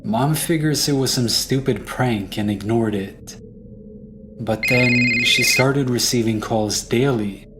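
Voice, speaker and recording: A man narrating a scripted first-person horror story. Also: fairly low pitch. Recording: music behind the voice.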